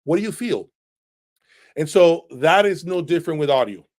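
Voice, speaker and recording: A man's voice: on the high side, animated, normal speed, a little mellow. A man hosting an unscripted audio review livestream, talking in an emphatic, opinionated way and often checking in with "okay?". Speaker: audio equipment reviewer and channel host. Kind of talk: unscripted livestream talk answering viewers' questions.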